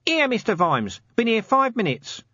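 Guy doing a cheeky scruffy guard, way pitched up, swoopy, storybook. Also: huge pitch swings, moderate pace, sharp tone, no room sound, highs cut off, exaggerated voice. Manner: casual, clipped grammar.

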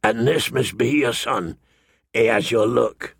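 A gruff old man of a northern watch for an audiobook. His voice is deeply creaky and husky, and he speaks slowly, with blunt, rustic deference. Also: dry recording, studio quality. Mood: deferential, gruff. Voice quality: gravelly, husky. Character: gruff stooped old man of the Night's Watch, rough but respectful. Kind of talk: audiobook character dialogue.

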